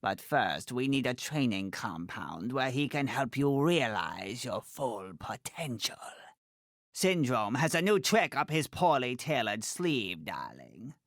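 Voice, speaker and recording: A man's voice: mid-range voice, sing-song, takes it slowly, vocal fry, a bit bright, no room sound, studio quality, exaggerated voice. A man playing a tiny, imperious middle-aged female fashion designer in a video game, haughty and dramatic, with clipped, fondly condescending delivery. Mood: disdainful. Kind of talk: video game character voice lines.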